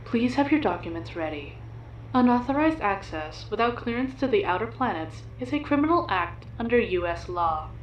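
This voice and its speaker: A woman voices an official automated announcer at a space-age terminal. She is formal and impersonal, with a mid-pitched voice. The sound is muffled and echoey, with clear noise behind it. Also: expressive, normal speed, natural voice. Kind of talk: scripted public announcement in audio drama.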